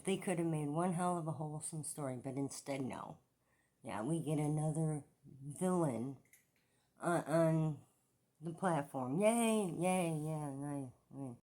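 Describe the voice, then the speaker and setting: A woman's voice: sits low, measured pace, warm tone. A woman hosting a reaction video, commenting casually with dry sarcasm.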